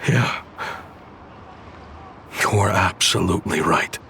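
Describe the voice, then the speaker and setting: An actor playing an earnest, remorseful man in a video game, speaking hesitantly and haltingly. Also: deep voice, pushed and bright, a little hiss or hum, full character voice. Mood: subdued, remorseful. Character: remorseful, earnest grown man seeking atonement. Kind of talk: video game character acting. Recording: music clearly behind the voice.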